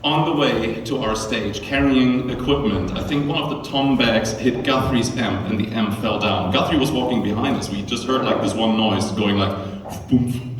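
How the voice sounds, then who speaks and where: A man's voice: mid-range voice, moderate pace, far from the mic, background noise. A man with a German accent, a band's drummer, casually telling a rambling story to a concert crowd. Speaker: band drummer. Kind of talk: onstage storytelling between songs. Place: concert venue. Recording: echoey live hall, mic from afar.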